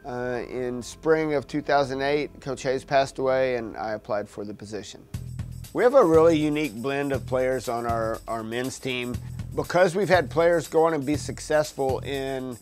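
A man's voice: mid-range voice, moderate pace, darkish tone, soft background music. A man in his sixties, a wheelchair basketball coach, telling the program's story steadily in a documentary interview. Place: gym office in front of a team banner.

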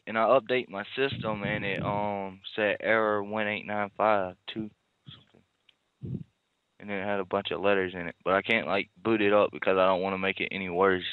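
Male prank caller, slangy and rambling, low pitch, narrowband muffled phone sound. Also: smooth, no echo. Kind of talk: casual phone call, feigning a tech problem.

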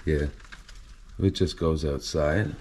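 Low, slow man exploring a dark basement. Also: fairly even intonation, background noise. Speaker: urban explorer filming a tour. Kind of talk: hushed exploration vlog narration. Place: dark flooded basement and cluttered garage of an abandoned house.

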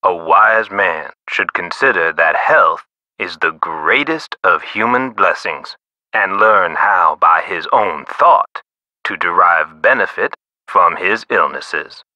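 A man playing a prim, earnest, caring nurse in a mystery video game, speaking formally and emphatically with drawn-out words. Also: sharp tone, no room sound, full character voice. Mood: solemn, formal. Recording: muffled low-bandwidth game audio.

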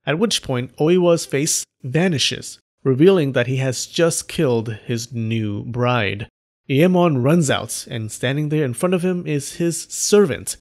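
A man's voice: mid-range voice, darkish tone. A man narrating scripted stories as a host, in an informal, wry and dramatic way with casual asides. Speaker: storyteller and host of an animated folktale channel.

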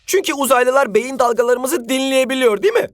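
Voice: high voice, a little fast, soft background music, natural voice. An actor voicing an obsessed, gullible young male footballer in a cartoon dub, earnest and insistent. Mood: convinced, insistent. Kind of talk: dubbed cartoon acting.

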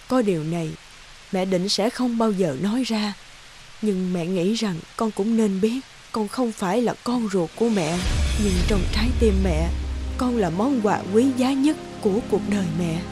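A woman voicing a gentle, frail old former witch speaking as a sorrowful, devoted mother in a cartoon. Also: medium pitch, natural voice. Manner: tender, slow sorrowful confession.

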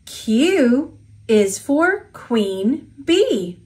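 A woman with an American accent reading an alphabet picture book aloud for toddlers, enunciating simply and deliberately. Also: fairly high pitch, very animated, a little mellow.

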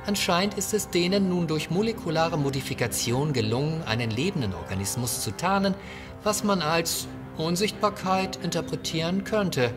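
A man's voice: on the high side, lively intonation, moderate pace, over music, natural voice. A man playing a cautious, lecturing ship's scientist in a sci-fi audio drama, speaking precisely and formally. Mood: grave, urgent. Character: cautious, pedantic male ship's scientist, urging retreat.

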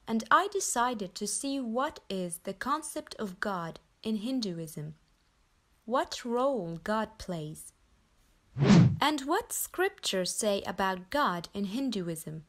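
Woman, from Nepal, young adult, measured earnest narration, lively pitch. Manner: slow, earnest, measured reading. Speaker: convert telling her story. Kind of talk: scripted personal narration.

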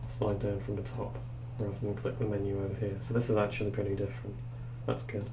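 A deep-voiced young man casually reviewing software on his computer, thinking aloud with hesitant fillers. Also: level tone, fast. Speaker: tech reviewer. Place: indoors at a computer. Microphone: desktop microphone. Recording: noisy recording with hum.

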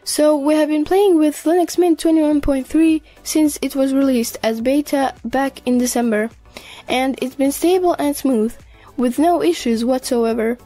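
A woman with a notably high, mellow voice narrates a scripted tech news video. Her delivery is measured and explanatory, with music playing behind her.

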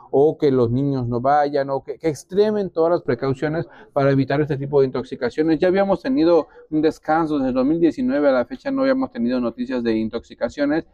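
A man's voice: mid-range voice, gravelly, dark tone, dry recording, dull audio. A man, explaining as an expert in an interview, with hesitant restarts. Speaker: biologist, interviewee. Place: small indoor exhibition room. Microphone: clip-on lapel mic.

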